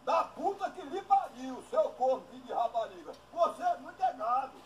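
A man playing a cranky, foul-mouthed old backcountry man who turns indignant and insulting fast, recorded outdoors at a rural house. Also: expressive, a little hiss or hum. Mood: cursing. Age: older. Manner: shrill, insulting, quick to anger. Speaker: comic character.

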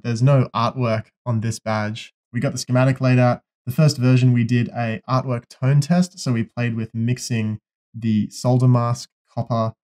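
Young man, friendly explainer, mellow tone, workshop. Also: medium pitch. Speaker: electronics presenter. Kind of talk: casual product explainer. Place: electronics workshop, indoors.

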